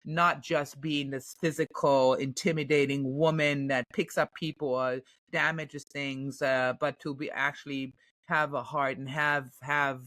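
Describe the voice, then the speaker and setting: A woman's voice: rumbling low, fairly even intonation, a little slow, a bit bright, dry recording. A woman from Germany with a German accent, an actress chatting in an interview with lots of fillers and restarts. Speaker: actress, podcast guest. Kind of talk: casual interview answers.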